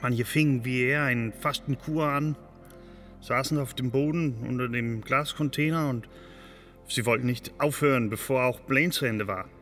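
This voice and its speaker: An actor as a bright-voiced, hesitant storyteller in reverb. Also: male voice, medium pitch, normal speed, lightly acted. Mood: fascinated, recounting. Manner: hesitant, searching for words. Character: earnest, fascinated man recounting a public spectacle. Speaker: storyteller in a radio play. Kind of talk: spontaneous-sounding documentary recollection. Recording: long reverberant hall sound. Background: music.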